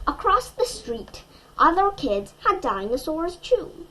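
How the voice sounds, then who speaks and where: Slow, animated woman reading a storybook. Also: very high voice, rough recording. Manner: expressive, deliberate, emphatic. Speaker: children's storybook reader. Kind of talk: read-aloud storytelling with questions to young listeners.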